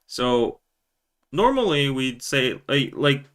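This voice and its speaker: A man with a Korean accent hosting a live podcast, talking casually in a rambling, animated way with loud emphatic bursts and quick laughs. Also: mid-range voice. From South Korea. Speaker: podcast host. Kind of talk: casual live-stream chat.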